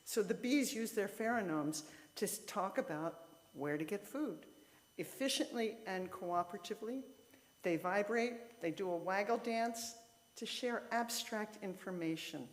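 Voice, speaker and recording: A woman's voice: medium pitch, measured pace. A woman giving an informal lay sermon in a conversational, explanatory way, with short emphatic statements. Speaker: lay speaker at a church service. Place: church sanctuary, speaking to a congregation. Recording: reverberant hall with long echo.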